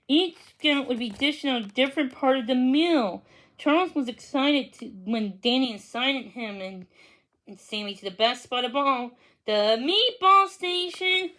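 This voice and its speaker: A woman reading a children's story aloud, a little haltingly, stumbling over and repeating words. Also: on the high side, fairly bright tone, poor audio. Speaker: storybook reader. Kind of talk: children's read-aloud narration.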